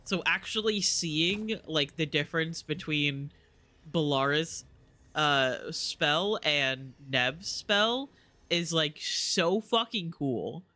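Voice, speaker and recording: A man's voice: on the high side, slow, bright, forceful tone. A man giving casual live commentary as a game streamer, talking into a close mic.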